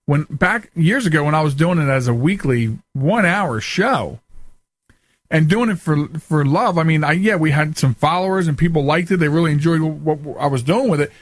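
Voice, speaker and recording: Chatty, higher-voiced man hosting a show. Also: animated, normal speed, dry recording. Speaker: talk show host. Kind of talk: casual interview chat.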